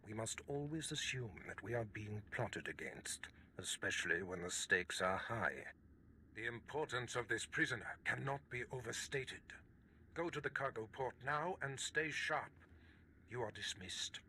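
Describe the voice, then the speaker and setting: A man playing a commanding, scheming Sith lord in a video game, with formal, measured, imperious delivery. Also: mid-range voice, lively intonation, pushed and bright, slight background noise, heavily acted. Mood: cold, commanding. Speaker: voice actor in a game. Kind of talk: cinematic video game acting.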